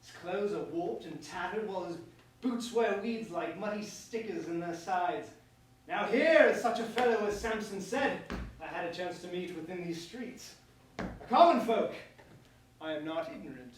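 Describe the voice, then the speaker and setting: An actor playing a pompous, bitter young prince in a staged reading of a verse comedy, declaiming formally. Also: male voice, high-pitched, animated, normal speed. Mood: curious, condescending. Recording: distant mic, echoey room, faint noise.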